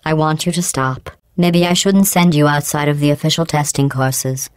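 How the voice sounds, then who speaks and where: A woman voicing a cold, sarcastic female AI computer that runs a test facility, speaking in a smooth, clipped, synthetic-sounding deadpan with passive-aggressive menace. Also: low voice, normal speed, dry recording, close to an everyday voice. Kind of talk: video game voice clips.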